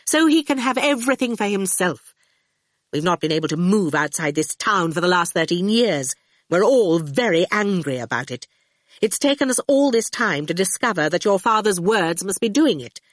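A haughty, ageless beauty who rules her town voiced by a woman audiobook reader. She sounds gracious on top and cold underneath, with lively swings of pitch in a dry recording. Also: normal speed, dry recording. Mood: angry, peeved. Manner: pouting, cold then gracious. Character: haughty, glamorous, ageless woman ruler, sweetly condescending, icily angry. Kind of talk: audiobook character voice.

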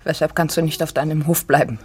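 A woman's voice: low-pitched, fairly even intonation, quick pace, a little mellow, lightly acted. Actress doing a bitter defiant farm woman in a radio play, bit of noise behind. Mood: guarded. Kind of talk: literary radio drama acting.

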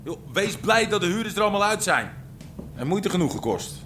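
An actor playing an unbothered, placating sidekick in a naturalistic radio drama, speaking casually. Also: male voice, sits high, big pitch swings, brisk, fairly bright tone, a little hiss or hum. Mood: defensive, placating. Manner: casual, shrugging.

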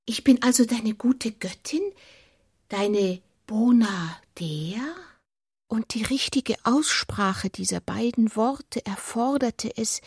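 A woman reading scripted literary narration for a radio play, soft and intimate in manner. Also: a little high, gravelly, breathy edge, no room sound, clean studio sound.

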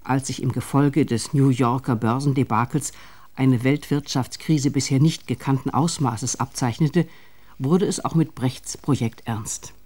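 A male narrator reads a scripted radio documentary. His voice is mellow and relaxed, sitting at an average pitch. The delivery is formal and measured.

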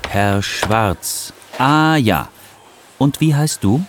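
Man playing a slow-spoken, courteous hotel receptionist. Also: mid-range voice, animated, a little hiss or hum. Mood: welcoming. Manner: courteous, clearly enunciated. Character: polite, attentive male hotel receptionist. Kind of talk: scripted language-course dialogue.

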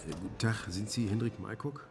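A man's voice: lowish voice, fast, music in the background, close to an everyday voice. A man playing a dogged, sardonic amateur investigator in a naturalistic radio drama, colloquial and hesitant. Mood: wry, insistent. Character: dogged, sardonic ex-surveyor turned driver, investigating on his own.

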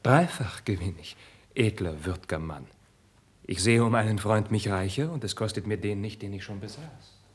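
An actor playing a sensitive, impassioned young prince in a husky, breathy voice, with theatrical 1950s radio drama delivery. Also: male voice, lowish voice, moderate pace. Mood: moved, grateful. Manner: theatrical, swinging between hushed and fervent. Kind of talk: classical German radio drama acting, 1950s.

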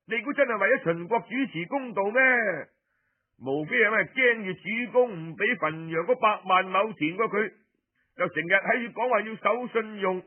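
A storyteller doing a male Cantonese court minister, combative and suspicious. His husky voice jumps high and sharp as he accuses, in a lo-fi storytelling recording.

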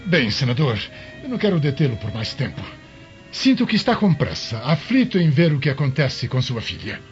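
A courtly, evasive Roman governor, acted by a man in a theatrical radio drama. His mid-pitched voice moves expressively up and down, with a muffled sound and music behind. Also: very animated, moderate pace, close to an everyday voice. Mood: courteous. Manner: courtly, hedging.

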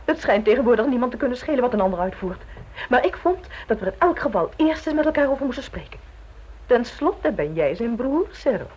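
An actress playing a lively, inquisitive upper-class lady in an old radio play, with theatrical delivery. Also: a little high, fairly quick, husky, low-quality recording. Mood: pointed, insinuating.

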